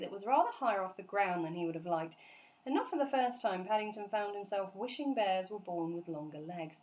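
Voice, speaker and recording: A woman storyteller reading a children's book aloud in an expressive narrating style. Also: medium pitch, low-quality recording.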